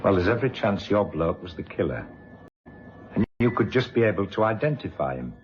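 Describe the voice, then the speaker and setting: Gruff, low-voiced British police inspector. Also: male voice, big pitch swings, a little fast, faint music behind. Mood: urgent, businesslike. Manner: brusque, clipped questioning, colloquial. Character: gruff, impatient male police detective chief inspector. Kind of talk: British radio drama acting. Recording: dull lo-fi radio recording, faint noise.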